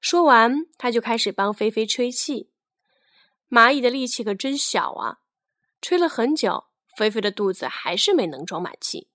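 A woman narrating a scripted children's fairy tale in an expressive storytelling manner. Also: fairly high pitch, normal speed, no echo, clean studio sound.

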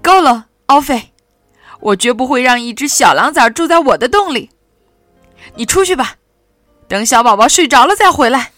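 A woman's voice: high voice, animated, moderate pace, pauses often, no echo, soft background music, voice pushed up. A woman playing a furious mother bear. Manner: loud, scolding. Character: angry mother bear, near roaring.

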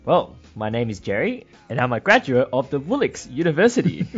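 A man's voice: mid-range voice, very animated, a little fast, slightly rough, dull audio, faint music behind. A man co-hosting a podcast English lesson as the native English speaker, with dry, teasing replies.

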